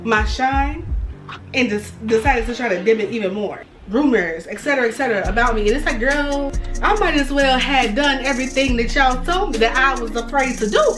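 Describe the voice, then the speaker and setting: A woman's voice: fairly high pitch, brisk, distant mic. Woman in her twenties, sassy, blunt, cursing, roomy sound, mic set back, music behind. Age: 20s. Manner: blunt, sassy, swears freely. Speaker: vlogger. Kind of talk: candid confessional vlog.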